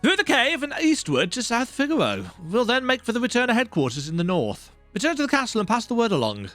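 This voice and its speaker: A man voicing a commanding, brisk king in a fantasy video game. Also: extremely high pitch, huge pitch swings, fairly bright tone, no echo, pitched above the performer's own voice, full character voice. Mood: decisive. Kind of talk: voiced game dialogue reading. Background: video game music.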